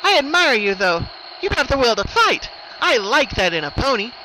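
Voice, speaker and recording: A woman's voice: a little high, sing-song, moderate pace, bright, forceful tone, slight background noise, poor audio. A woman voicing a cheerful, sadistic killer pony with a happy, sing-song menace in a dramatic story reading. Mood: playful taunting. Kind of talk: dramatic fan fiction reading.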